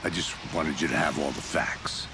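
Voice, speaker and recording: Very deep-voiced weary detective. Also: male voice, steady tone, moderate pace, gravelly, background noise. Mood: grave, confiding. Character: weary, guarded male detective confessing past cases. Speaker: FBI detective. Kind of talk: cinematic video game dialogue. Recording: music under the voice.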